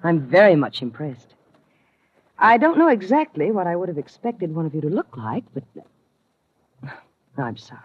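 Husky, arch haughty rich wife. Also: female voice, lowish voice, very animated, moderate pace, pauses often, no room sound. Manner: arch, languid, sardonic. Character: cool, haughty, flirtatious wealthy wife in her twenties. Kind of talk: 1950s radio noir acting. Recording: muffled old 1950 radio recording.

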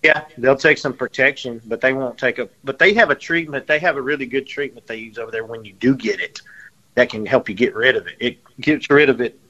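Bright-voiced man telling stories. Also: medium pitch, brisk, highs cut off. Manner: animated storyteller, repeats himself. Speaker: radio show guest. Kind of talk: storytelling in casual chat.

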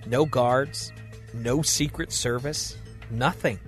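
A man telling a serial thriller in steady, expressive scripted narration. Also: medium pitch, slow, faint music behind. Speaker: storyteller.

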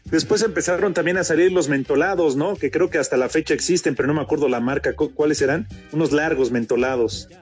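Mexican male co-host, moderately high voice, informal banter over soft music. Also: fast talker, hardly any pauses. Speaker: sports radio co-host. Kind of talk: joking casual chat.

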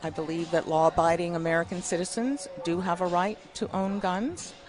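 A woman's voice: lowish voice, normal speed. A woman from Cuba, a native Spanish speaker, talking firmly as a political candidate and guest on a live radio show at an outdoor festival. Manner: firm, steady, conviction-driven.

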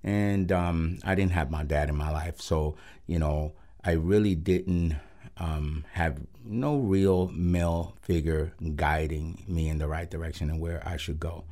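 A man from Rico, a Spanish speaker, giving a halting, reflective personal testimony as a guest pastor on a radio show. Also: sits low, fairly even intonation, mellow. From Puerto Rico. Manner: halting and reflective, with frequent pauses.

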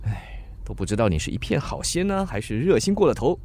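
A man's voice: medium pitch, sing-song, a little fast, mellow. A man playing a teasing, cynical young delivery sidekick, grumbling theatrically in a radio drama. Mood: sarcastic.

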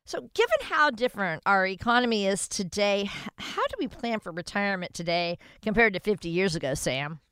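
A woman's voice: mid-range voice, very animated, fairly bright tone, clear, resonant tone, no echo, studio quality. A woman in her sixties co-hosting a radio show, speaking in a cheerful, upbeat way as she introduces the advisor and asks questions. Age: 60s. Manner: cheerful, reads out phone numbers slowly. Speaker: radio co-host.

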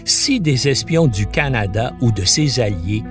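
A man's voice: medium pitch, expressive, unhurried, over music. A man narrating a scripted documentary as a podcast host, in a dramatic storytelling manner.

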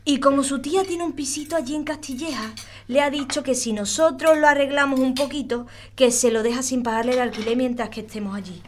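A defiant young Andalusian daughter, acted by a woman, speaks high and huffy, full of sarcastic slang. It is a family audio sitcom, with crockery lightly clinking at the table. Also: brisk, lightly acted. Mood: explaining. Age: 20s. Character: sassy, defiant twenty-year-old student daughter. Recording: clinking dishes and cutlery noise.

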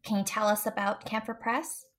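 A woman's voice: medium pitch, level tone, moderate pace, fairly bright tone. Upbeat woman hosting an interview. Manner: upbeat, enthusiastic. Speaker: host.

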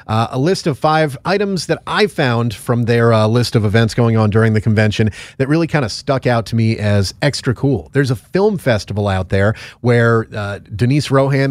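A man's voice: mid-range voice, darkish tone, dry recording. Guy hosting morning radio, chatty and upbeat, plain middle voice, dry studio sound. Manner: upbeat, chatty, plugs the website. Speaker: radio show host.

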